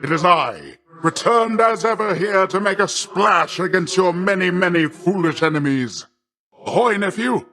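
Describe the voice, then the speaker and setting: A boisterous, boastful sea god uncle. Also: male voice, sits high, animated, normal speed, pushed and bright, heavily acted. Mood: jovial, hearty. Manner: grandiose, jovial, theatrical delivery with archaic flourishes. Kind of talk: video game character voice lines.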